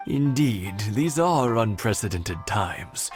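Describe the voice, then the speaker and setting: A courteous old villager with a lowish voice that moves expressively as he speaks. He sounds hospitable and a little frail. Game music hums softly behind him. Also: animated, takes it slowly. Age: older. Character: courteous elderly village husband. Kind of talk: video game voice acting.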